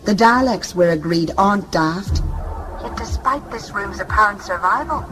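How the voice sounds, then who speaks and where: A woman's voice: mid-range voice, normal speed, over music, close to an everyday voice. A woman playing a level-headed, reasoning member of a scavenger crew in a science fiction audio drama.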